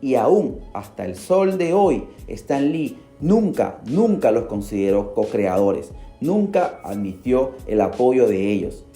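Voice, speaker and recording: A man hosts a podcast and narrates a story in a dramatic, animated way. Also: mid-range voice, takes it slowly, few pauses. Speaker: podcast host. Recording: roomy echo. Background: faint music.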